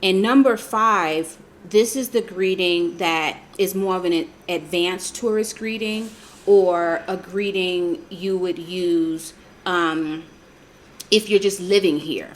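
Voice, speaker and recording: American woman co-host, low-pitched, chatty and patient, faint noise behind. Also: slow. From United States. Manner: chatty, explains patiently with fillers. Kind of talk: casual travel chat.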